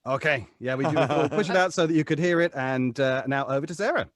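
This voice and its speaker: A man's voice: on the high side, quick pace, no room sound, clean studio sound. A man in his thirties chatting casually on a group video call from a home booth. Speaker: voice actor and podcast cast member. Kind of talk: casual chat. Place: small dark home booth.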